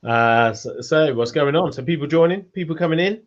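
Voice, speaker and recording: A man's voice: fairly high pitch, expressive, fairly quick, lo-fi sound. A man hosting a casual online Q&A, rambling and self-deprecating, often repeating his phrases. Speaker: host of an online community Q&A. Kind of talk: casual chat, reading out audience questions.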